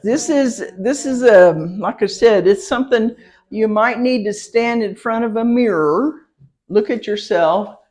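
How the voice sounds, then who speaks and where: A woman's voice: on the high side, measured pace, a little mellow. A woman teaching a citizenship class, patiently repeating words for her learners and laughing lightly between points. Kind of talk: classroom pronunciation lesson.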